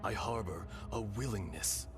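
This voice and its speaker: Man voicing a slow, deep, solemn ancient dragon spirit. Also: over loud music, lightly acted. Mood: gentle, solemn. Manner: grave, measured, breathy at phrase ends. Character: ancient dragon's benevolent remnant, calm, solemn, sorrowful. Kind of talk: scripted fantasy game voice acting.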